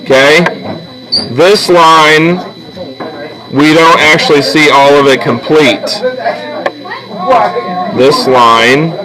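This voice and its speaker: A man's voice: fairly high pitch, steady tone, extremely slow, pauses often, a crowd in the background, poor audio. A man teaching a drawing lesson step by step in a casual way, often checking that his listeners follow. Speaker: art teacher.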